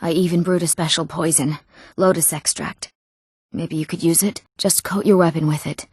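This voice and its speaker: Husky young fantasy game heroine. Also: female voice, low-pitched, fairly even intonation, normal speed, darkish tone, no room sound, clean studio sound. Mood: bitter, grieving. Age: young adult. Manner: emotive, dramatic delivery. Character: eager, wronged young woman of a fantasy world. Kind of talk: video game character voice lines.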